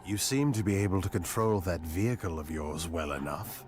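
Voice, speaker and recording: An actor playing a sly, sinister wish-granting showman in a game cutscene, measured and mock-courteous. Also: low-pitched, soft background music, close to an everyday voice.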